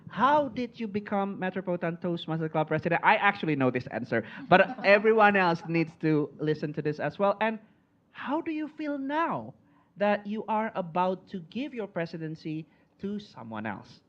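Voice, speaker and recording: A man's voice: high voice, big pitch swings, moderate pace. A man acts as emcee at a club meeting. He thanks the speakers with stretched-out praise and asks friendly questions. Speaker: evening's Toastmaster, emcee. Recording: dull recording with faint noise.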